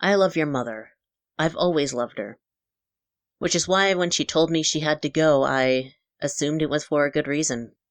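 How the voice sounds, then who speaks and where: A woman's voice: sits low, normal speed, dry recording, close to an everyday voice. A woman voicing a middle-aged father in an audio story, guarded and wounded, earnest and apologetic. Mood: regretful, earnest. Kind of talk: dramatic character dialogue in a narrated audio story.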